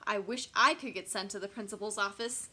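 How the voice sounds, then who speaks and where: A woman's voice: mid-range voice, brisk, pushed and bright. A woman voicing a nervous young schoolchild in a children's storybook, with a dramatic, anxious, confiding manner.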